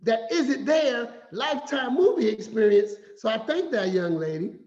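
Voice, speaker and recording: A man giving a finance talk as an animated, playful storyteller who acts out quotes. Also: very high-pitched, measured pace. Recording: echoey room, slightly dull sound.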